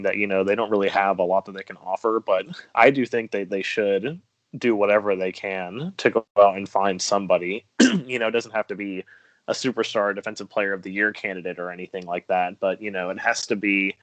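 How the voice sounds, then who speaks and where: A man's voice: on the low side, fairly even intonation, a little fast, fairly bright tone, no room sound, rough recording. A man chatting casually about sports as a podcast co-host, giving his take in an informal way.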